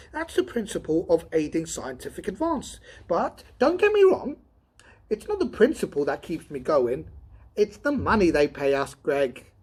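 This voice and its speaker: A man voicing an excitable, griping male robot tester in a storybook reading, loud and indignant. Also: sits high, husky, voice pushed up, exaggerated voice.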